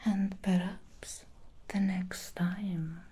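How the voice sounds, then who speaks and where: A woman voicing an alluring, scheming female demon hairstylist in an ASMR roleplay, speaking in a slow seductive whisper. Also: lowish voice, fairly even intonation, dark tone, husky, exaggerated voice. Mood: sly whisper.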